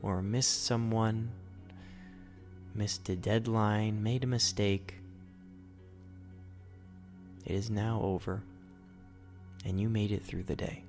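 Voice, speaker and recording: A man leading a guided sleep meditation as host, in a soothing, gentle, instructional manner. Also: on the low side, steady tone, slow, long pauses, soft background music.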